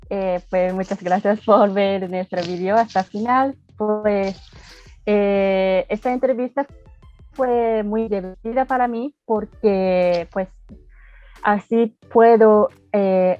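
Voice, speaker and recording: A woman who is not a native speaker answers interview questions slowly and carefully. She pauses often and fills gaps as she searches for words. The sound is slightly dull and dry, with faint music behind. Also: medium pitch. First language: not Spanish. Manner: careful, hesitant with many fillers. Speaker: guest, language learner.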